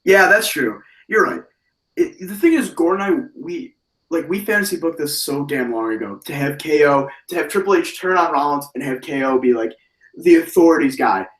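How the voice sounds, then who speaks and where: A hoarse man hosts a casual wrestling chat, rambling and restarting his sentences as he gets animated. His voice sits a little high for a man and rings around a roomy space, picked up from a distance by the mic. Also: normal speed. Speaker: wrestling podcast host.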